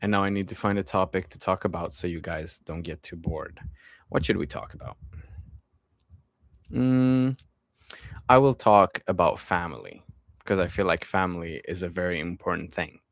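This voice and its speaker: A man in his twenties streaming live, reading viewers' comments aloud and answering them in a relaxed, friendly way before drifting back to his topic. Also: low-pitched, normal speed, gravelly, pauses often, no echo, studio quality. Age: 20s. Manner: relaxed, friendly, often trails off with fillers. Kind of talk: casual live-stream chat.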